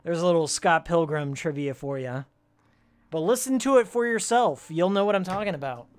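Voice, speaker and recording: A game streamer with a raspy voice worn from shouting, giving casual, joking, opinionated commentary and fan trivia in slangy language. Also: medium pitch, lively intonation, normal speed. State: voice worn from screaming and ranting.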